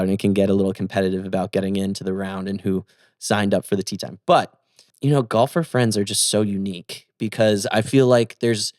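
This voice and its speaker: This is a young adult man co-hosting a casual podcast. His voice is a little low and comes across close and dry. He talks with upbeat enthusiasm. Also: no room sound. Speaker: podcast co-host. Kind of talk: casual chat.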